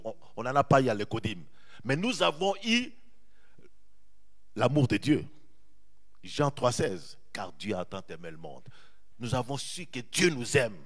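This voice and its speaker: Animated preaching man, lively pitch. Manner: animated preaching, repeats phrases, draws out key words. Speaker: pastor. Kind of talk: sermon.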